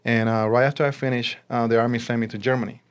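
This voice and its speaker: A man's voice: mid-range voice, little pitch movement, fast talker, clean tone. Puerto Rican man in a conversational interview. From Puerto Rico. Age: 40s. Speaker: interview guest, realtor and army veteran.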